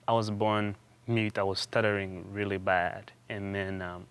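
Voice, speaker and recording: A young man from Uganda answers interview questions in a fairly low, smooth, resonant voice. He talks haltingly, often restarting and repeating words as he goes. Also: level tone, fairly bright tone. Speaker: interview guest, theology student. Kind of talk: conversational interview.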